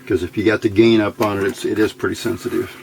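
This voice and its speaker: Man, lowish creaky voice, casual tutorial host, talking himself through the work, faint background noise. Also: flat delivery, quick pace, darkish tone. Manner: unscripted, muttering to himself while working. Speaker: electronics hobbyist, channel host. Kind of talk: casual hands-on build tutorial, thinking aloud. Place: indoor workbench.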